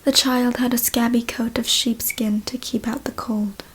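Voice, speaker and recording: A woman reading a story as ASMR in a soft, breathy whisper, hushed and deliberate. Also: on the high side, level tone. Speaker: ASMR reader.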